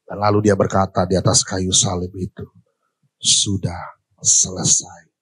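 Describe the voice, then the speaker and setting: A middle-aged male pastor preaching a sermon on a church stage into a handheld microphone, asking the congregation questions and swinging between emphatic high lines and slow, low ones. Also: little pitch movement, a bit bright, slightly rough, rough recording.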